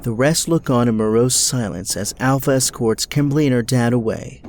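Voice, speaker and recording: A man narrating an audio drama from a script in a measured, dramatic storytelling style. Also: medium pitch, a little mellow, slight background noise.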